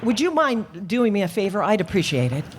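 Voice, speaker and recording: A woman's voice: medium pitch, sing-song, brisk, background noise, close to an everyday voice. Lady doing a chatty flustered older landlady, voice goes up and down a lot, old radio drama style. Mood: anxious, pleading. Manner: hesitant, fussy, exclaiming. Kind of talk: live old-time radio play acting.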